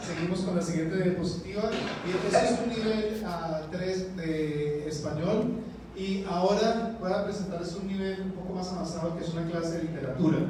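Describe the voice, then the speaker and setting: Man, lively encouraging speaker, high voice, faint background noise. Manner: lively, encouraging, addresses audience directly. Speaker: conference presenter. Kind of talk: conference talk to teachers. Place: conference hall. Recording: echoey hall, distant mic.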